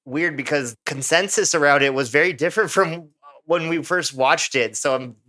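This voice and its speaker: A man's voice: fairly high pitch, moderate pace, sharp tone. A young American man, co-hosting a podcast in casual, rambling chat full of filler words. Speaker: podcast co-host.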